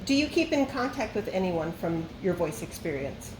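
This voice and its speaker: A woman reads out fan questions in a plain, deadpan tone, with an easy mid-range voice. The room echoes around her and carries a busy wash of noise. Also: fairly low pitch, moderate pace. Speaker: friend reading out questions. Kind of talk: reading questions aloud. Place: brewery. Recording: roomy echo with ambient noise.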